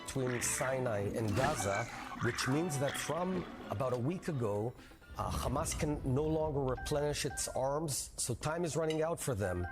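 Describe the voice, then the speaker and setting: Measured man in a broadcast interview. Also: medium pitch, lively intonation, music in the background. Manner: measured, hesitant with many fillers. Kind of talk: televised interview answers.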